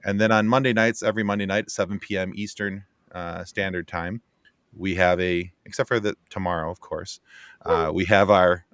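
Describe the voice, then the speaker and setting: A man's voice: deep voice, a bit bright, smooth, no room sound, studio quality. A man who co-hosts a podcast, chatting casually in a joking, bantering way. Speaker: podcast co-host appearing as guest. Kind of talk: casual chat.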